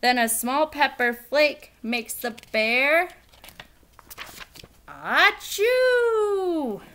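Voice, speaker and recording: A woman reading a children's picture book aloud in an expressive, theatrical, emphatic storytelling style. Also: high-pitched, very slow.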